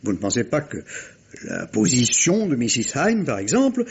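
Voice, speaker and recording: An actor playing a polite, sceptical police inspector in a vintage radio mystery, questioning in a hesitant, roundabout way. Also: male voice, medium pitch, sing-song, normal speed, breathy edge. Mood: probing, hesitant. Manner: hesitant, courteous, roundabout questioning with repeated words. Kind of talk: 1950s French radio mystery acting. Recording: dull, lo-fi vintage radio recording.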